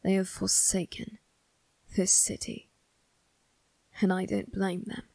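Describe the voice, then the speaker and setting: A woman playing a cold, menacing young male vampire crime boss in an ASMR roleplay, speaking in a breathy, husky half-whisper with a measured, condescending manner. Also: medium pitch, monotone, a little mellow, dry recording. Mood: bitter contempt. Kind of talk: ASMR character roleplay addressed to the listener.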